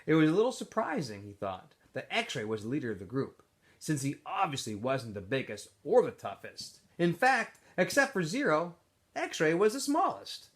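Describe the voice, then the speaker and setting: Man reading aloud with very lively pitch. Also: moderate pace. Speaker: teacher reading aloud to school. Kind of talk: expressive storybook read-aloud with informal introduction.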